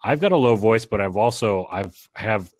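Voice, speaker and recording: A man with a nasal voice, a podcast guest talking in a relaxed, rambling way. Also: lowish voice, normal speed, slight background noise. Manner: relaxed, rambling, laughs lightly. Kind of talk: casual interview chat.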